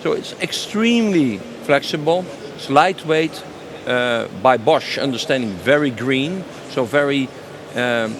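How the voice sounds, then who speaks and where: A man's voice: mid-range voice, expressive, a little slow, few pauses. A man gives spontaneous, enthusiastic interview answers as a company sales director, stressing and repeating his phrases. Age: 50s. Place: trade show stand. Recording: noisy busy exhibition location, clear background noise.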